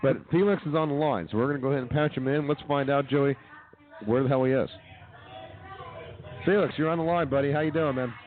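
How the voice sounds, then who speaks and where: A tinny, phone-like recording of a male comedy radio host. He talks quickly in casual, cheeky banter at a middling pitch. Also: steady tone. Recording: tinny narrow phone-like sound.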